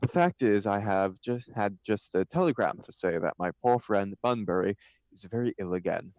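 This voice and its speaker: A man voicing a flippant, witty young gentleman dandy, airy and theatrical, in a stage comedy table read. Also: on the low side, animated, normal speed, dry recording. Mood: feigned regret.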